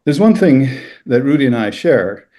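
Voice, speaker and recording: A man whose first language is Low German, a university lecturer reading a prepared reflection in a measured, formal, scholarly way. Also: big pitch swings, dark tone, slight background noise. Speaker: university lecturer, panel speaker. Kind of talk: prepared reflection read aloud at a book launch.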